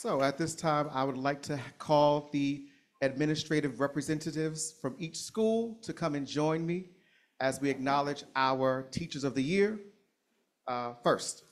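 A man at a school board meeting delivering a formal, prepared speech of praise in a measured, ceremonious way. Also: a little high. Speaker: school district speaker.

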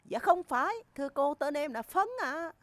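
A woman's voice: sits high, lively intonation, moderate pace, heavy background noise. A woman voicing cheeky young schoolchildren in a crude comedy cartoon, with exaggerated delivery. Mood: timid, polite.

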